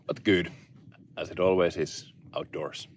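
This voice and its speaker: Low-voiced man musing casually over background noise. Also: fairly even intonation. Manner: unhurried, musing, speaking in short phrases with pauses. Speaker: outdoor vlogger. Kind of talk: casual, reflective chat to camera. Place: inside a small tent and outdoors in snowy woods.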